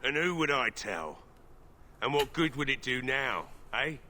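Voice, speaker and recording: An actor playing a despairing, stubborn old village blacksmith in a video game, gruff, weary and drunk. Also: male voice, mid-range voice, big pitch swings, measured pace, pushed and bright, exaggerated voice. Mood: regretful. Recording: faint background noise.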